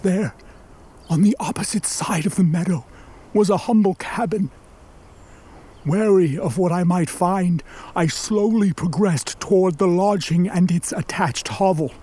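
A man plays a wounded, articulate man-made creature telling its own tale in a radio drama. The voice is high and soft-edged, and the delivery is slow, formal and full of longing. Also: breathy edge. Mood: weary, searching. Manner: formal, literary, measured storytelling with drawn-out words. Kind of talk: dramatic radio play narration.

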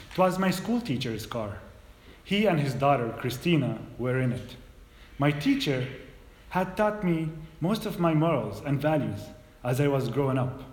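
A man's voice: mid-range voice, a little mellow. A young man from Palestine, speaking as a guest, starting hesitantly with fillers and then telling his story steadily. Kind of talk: prepared personal talk. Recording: echoey hall recording with faint hum.

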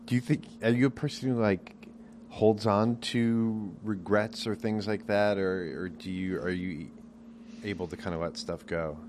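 A man hosting a podcast interview, asking relaxed, rambling questions. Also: fairly low pitch, measured pace, a little hiss or hum. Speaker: podcast host. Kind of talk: casual interview chat.

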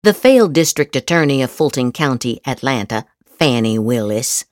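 A woman's voice: very deep voice, lively intonation, music in the background. Theatrical woman with wildly swooping delivery. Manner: exaggerated, sarcastic inflection with drawn-out emphasis. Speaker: podcast host reading social media posts aloud. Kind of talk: theatrical dramatic reading of political posts.

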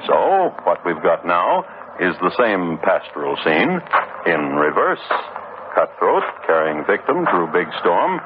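A man playing a wry, deadpan narrator of 1950s radio crime tales, speaking in clipped fragments. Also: deep voice, takes it slowly, full character voice. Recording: muffled, very noisy 1950s radio recording.

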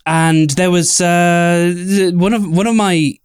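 A man co-hosting a podcast in casual chat, halting and rephrasing himself as he goes. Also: high-pitched, fairly even intonation, clean tone, no room sound, clean studio sound. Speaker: podcast co-host.

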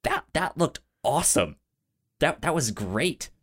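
A man's voice: fairly high pitch, sing-song, measured pace, a bit bright. A man co-hosting a film podcast, talking casually and enthusiastically, with a stutter that repeats sounds and syllables. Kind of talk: casual movie discussion.

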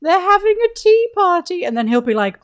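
A woman's voice: very high-pitched, big pitch swings, a little fast, highs cut off. A woman chatting casually as a guest on a gaming podcast, with a wry manner. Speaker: guest on a video game podcast. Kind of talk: casual chat.

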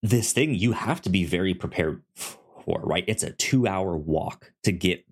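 Male podcast co-host, low voice, casual conversational delivery, halting and stammering over word starts. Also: animated, breathy edge. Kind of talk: casual discussion of an audio drama.

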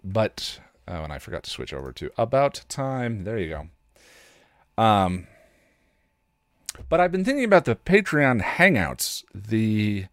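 Low-voiced man rambling casually. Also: very animated, fairly bright tone, no room sound, clean studio sound. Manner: rambling, halting, thinking aloud. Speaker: podcast host and content creator. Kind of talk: casual solo podcast chat.

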